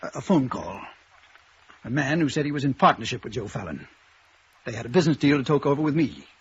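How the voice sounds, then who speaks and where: A man acting a self-important, defensive gentleman producer in a 1950s radio drama, formal and prone to stammering bluster. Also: mid-range voice, very animated, quick pace, slight background noise, natural voice. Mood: flustered, defensive. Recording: dull, muffled old radio recording.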